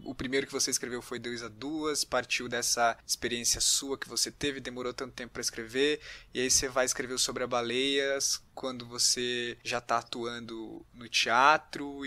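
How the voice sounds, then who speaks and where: A man's voice: mid-range voice, sharp tone. A Brazilian man hosting a casual podcast interview, informal and thinking aloud as he goes.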